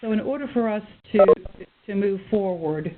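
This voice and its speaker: A woman's voice: medium pitch, lively intonation, moderate pace. A woman acting as committee chair, formally running a meeting on a conference call. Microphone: telephone. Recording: tinny conference phone line with noise.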